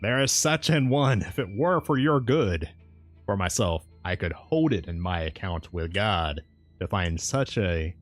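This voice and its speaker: A man reading aloud and voicing a solemn elderly professor in a storybook, with formal, old-fashioned speech. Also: sits low. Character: solemn elderly male professor, Van Helsing.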